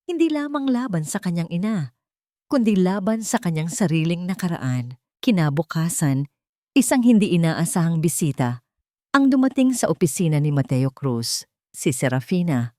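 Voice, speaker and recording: Low-voiced woman narrating a dramatic story. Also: sing-song, moderate pace, dark tone, no room sound, clean studio sound.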